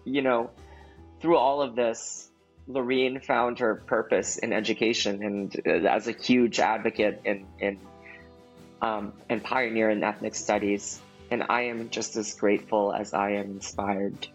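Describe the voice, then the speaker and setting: A man's voice: on the low side, steady tone, measured pace, husky, soft background music. A man giving a casual spoken reflection, hesitant and leaning on "you know". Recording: dull lo-fi recording.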